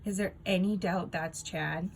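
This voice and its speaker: A woman's voice: on the low side, steady tone, a little slow, creaky, slight background noise. A woman reading a storybook aloud and playing a teasing, smart-mouthed sixth-grade boy. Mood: amused. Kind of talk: children's book read-aloud.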